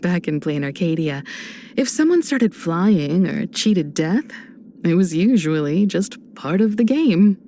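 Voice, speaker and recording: An actress voicing a composed adult woman space traveler in a video game, a warm mentor who speaks in a measured, reflective, gently teasing way. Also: lowish voice, big pitch swings, slight background noise. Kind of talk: video game character voice lines.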